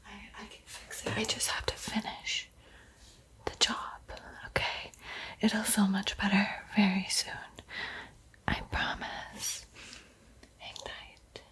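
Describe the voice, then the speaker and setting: A woman playing a soothing but secretly hungry vampire art teacher in a breathy, coaxing whisper for an ASMR roleplay. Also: medium pitch, little pitch movement, poor audio. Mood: hushed, apologetic. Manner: slow, hushed, coaxing, hesitant pauses.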